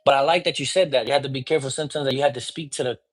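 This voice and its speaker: A man speaking as a priest, teaching divination in a relaxed conversational way and often checking that the listener follows. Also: medium pitch, rapid-fire. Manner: informal, often checks in with "you get me?"; mixes in Spanish words. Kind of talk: casual teaching talk.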